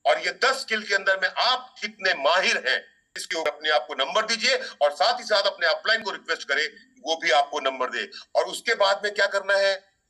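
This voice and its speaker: Bright, high-voiced motivational man, far off mic. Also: expressive, normal speed. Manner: rousing, uses battle metaphors, mimics whiny excuses. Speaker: network marketing trainer. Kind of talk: motivational training talk.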